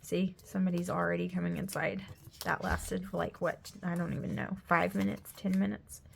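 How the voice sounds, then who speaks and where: A gravelly-voiced woman in her thirties chats in a loose, rambling way, full of "like," and keeps dropping to a near whisper. She sounds sniffly, with a scratchy throat. A faint hiss sits under the recording. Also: on the low side, flat delivery, moderate pace. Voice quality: gravelly, drops often into a whisper. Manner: chatty, rambling, lots of "like". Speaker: planner vlogger, mother. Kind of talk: casual chat while decorating a planner. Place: home room.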